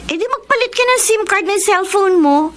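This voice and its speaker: A woman playing a caring, protective young friend in a Filipino radio drama, giving gentle advice. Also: very high voice, lively intonation, normal speed, slight background noise. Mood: concerned.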